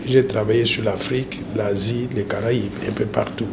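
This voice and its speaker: A man in his sixties from Congo, speaking, presents himself formally in an interview in a measured, deliberate way with hesitant pauses. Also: fairly low pitch, fairly even intonation, creaky. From Democratic Republic of the Congo. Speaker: interview guest, political candidate. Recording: noisy ambient background.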